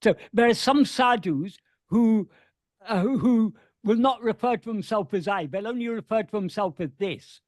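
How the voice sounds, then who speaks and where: A man's voice: sits high, normal speed, no room sound, clean studio sound. Earnest man teaching over a video call. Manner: earnest, repeats words while searching for phrasing. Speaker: spiritual teacher answering questions.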